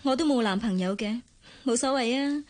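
An actress playing a modest, guarded young office worker in a radio drama, polite and demure, with a Hong Kong Cantonese accent. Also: female voice, on the high side, brisk, darkish tone, natural voice. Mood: earnest, deferential.

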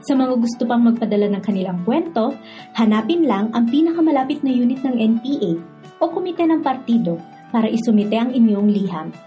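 A woman's voice: fairly high pitch, animated, a little fast, lo-fi sound. A woman with a Filipino accent hosting a radio drama series and reading scripted narration. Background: background music.

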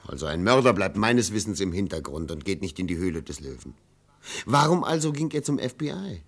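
An actor playing a thoughtful, doubting male detective sidekick in a vintage radio crime drama, measured and polite. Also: sits low, big pitch swings, breathy edge, full character voice. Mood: doubtful.

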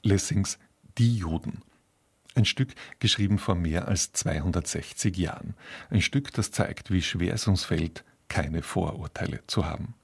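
A man presenting scripted radio programme announcements in a formal, composed manner, with a gravelly, husky voice. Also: low voice, unhurried.

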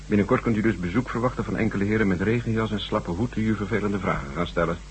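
An actor playing an obliging, principled chief computer programmer in a radio drama, measured, courteous and confiding. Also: male voice, fairly low pitch, natural voice. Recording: noisy, humming old radio recording.

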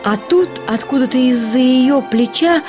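A woman narrates literary fiction in a fairly high voice. Her delivery is expressive and reflective, with deliberate phrasing. Music plays faintly behind her. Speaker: story narrator, actress.

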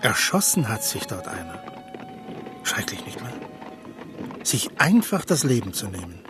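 A man's voice: mid-range voice, big pitch swings, unhurried. Chatty travelling man over music. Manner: talkative, gossiping. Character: chatty, gossipy male coach traveller. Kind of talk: literary radio drama acting. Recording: music behind the voice.

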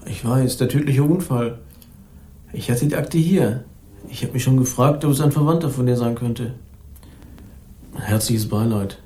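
An actor playing an affable but guarded young police officer in a crime radio play. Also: male voice, medium pitch, unhurried, pauses often, mellow, a little hiss or hum, lightly acted. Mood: friendly, sympathetic. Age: young adult. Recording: roomy studio office acoustic.

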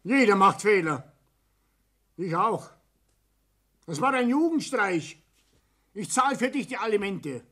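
A man's voice: sits high, big pitch swings, slow pace, pauses often, pushed and bright, breathy edge, heavily acted. An actor playing a domineering, hot-tempered old Bavarian father and businessman in a radio play, blustering and commanding with a Bavarian accent. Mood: pleading, pressuring. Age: older. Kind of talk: German radio play acting.

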